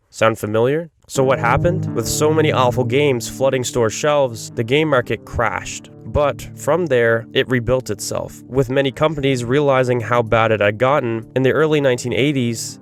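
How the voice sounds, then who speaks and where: A man delivering scripted commentary as a guest video essayist. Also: medium pitch.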